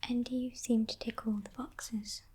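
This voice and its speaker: A woman playing a sly, haughty female demon kidnapper in a whispered ASMR roleplay, breathy, teasing and condescending, coaxing with soft menace. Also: fairly high pitch, level tone. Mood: coaxing, smug. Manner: slow, teasing, condescending, softly threatening.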